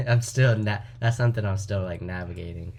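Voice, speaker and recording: A man hosting a podcast, talking casually and haltingly with many fillers. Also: lowish voice, a little mellow, slight background noise. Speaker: podcast host. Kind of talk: casual interview conversation.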